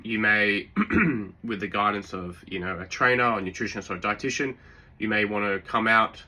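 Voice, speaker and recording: A man with a sore throat giving relaxed, rambling fitness advice, full of fillers and restarts. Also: fairly low pitch. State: sore throat, occasional coughs. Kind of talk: casual advice talk.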